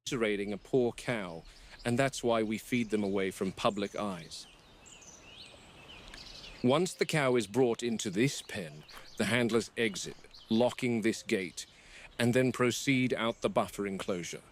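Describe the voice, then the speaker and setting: Guy doing a serious dino park warden log, formal and measured, explains everything, background noise. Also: on the low side, close to an everyday voice. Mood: matter-of-fact. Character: serious male dinosaur park game warden giving a log. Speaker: animal handler. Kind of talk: scripted video log narration.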